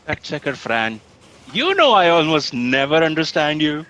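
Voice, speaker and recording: A woman playing a dry, weary, sardonic assistant to a cosmic boss, deadpan but theatrically emphatic, in a comic sci-fi audio drama. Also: low voice, normal speed.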